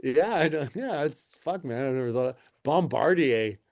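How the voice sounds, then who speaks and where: A man's voice: a little high, expressive, normal speed, a little mellow. A man co-hosting a casual podcast with a sardonic, grumbling manner and dry humor, swearing freely. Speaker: podcast co-host. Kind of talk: casual profane podcast chat.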